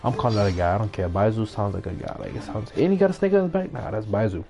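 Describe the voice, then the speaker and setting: A man's voice: lowish voice, lively intonation, quick pace, dark tone. A young man giving casual, slangy, rambling commentary as he streams a game. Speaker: gaming streamer. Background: game music.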